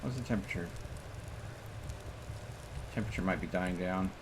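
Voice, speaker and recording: A man's voice: deep voice, moderate pace. A man streaming a game with casual commentary, muttering to himself as he plays. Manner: muttering to himself while playing. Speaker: live streamer. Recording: clearly noisy background.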